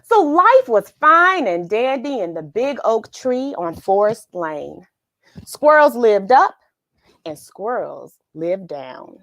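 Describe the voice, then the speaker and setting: A woman's voice: on the high side, sing-song, takes it slowly, no room sound. Effusive woman hosting a children's read-along. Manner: effusive, sing-song greetings, repeats words. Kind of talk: casual livestream greetings and picture-book read-aloud.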